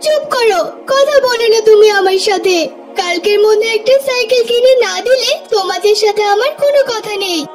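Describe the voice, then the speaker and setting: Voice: extremely high pitch, moderate pace. A performer voicing an eager, stubborn young schoolboy in a cartoon, with music behind. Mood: stubborn, angry. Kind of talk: cartoon voice acting.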